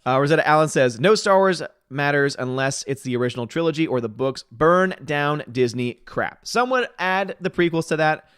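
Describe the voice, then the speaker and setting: Man in his 30s, slightly higher voice, dry close sound, tired-sounding, chatty stream host. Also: expressive, a bit bright, clean tone, dry recording. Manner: chatty, opinionated, quick asides. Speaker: livestream host reading chat. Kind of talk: casual livestream chat.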